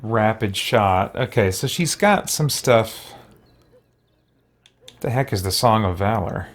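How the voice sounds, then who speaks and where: A man's voice: fairly low pitch, expressive, takes it slowly. A man giving casual let's play commentary as a video host, thinking aloud with muttered asides and exclamations.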